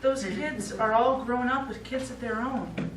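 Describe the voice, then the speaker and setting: A woman's voice: on the high side, unhurried, natural voice. A middle-aged woman playing a practical, concerned daughter in a live stage play, coaxing with mild exasperation. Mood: puzzled, correcting. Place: small indoor theatre stage, recorded from afar. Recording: echoey theatre, distant mic, faint noise.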